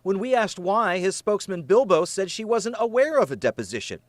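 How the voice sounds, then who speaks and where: A man delivers a serious, emphatic TV news report in an American accent. His voice sits high for a man and moves with lively intonation. The sound is dry and close, like a studio voice-over. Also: a little fast, dry recording. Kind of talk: scripted investigative news narration.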